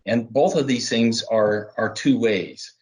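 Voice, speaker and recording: A man giving explanatory interview answers as a business development manager, halting at times and laying out points one by one. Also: fairly low pitch, a little mellow.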